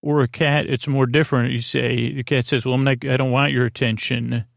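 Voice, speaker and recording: Gravelly, meandering male podcast host. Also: mid-range voice, flat delivery, no room sound, studio quality. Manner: meandering, halting, repeats himself, goes off on tangents. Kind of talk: rambling bedtime podcast intro, casual monologue.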